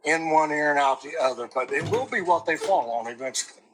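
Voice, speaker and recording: A man's voice: fairly high pitch, animated, brisk, fairly bright tone, breathy edge, faint music behind, rough recording. A man giving blunt, emphatic casual reaction commentary on true crime.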